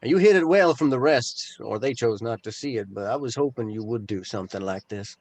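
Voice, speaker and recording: A man playing a solemn, affectionate older brother in a script table read, speaking in formal, measured phrases. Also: mid-range voice, animated, a little mellow, dry recording. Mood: solemn, tender.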